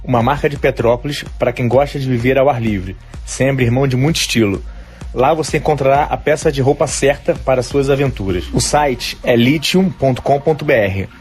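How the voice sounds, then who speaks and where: Male host for a scripted podcast opener and ad read, upbeat, middle pitch, faint music bed. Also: a little mellow. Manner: upbeat, spells out words slowly.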